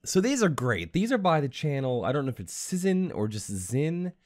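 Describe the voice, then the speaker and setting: Animated man chatting to viewers. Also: fairly high pitch, normal speed. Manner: animated, upbeat. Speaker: reaction video host. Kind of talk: casual chat to viewers. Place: small home studio room.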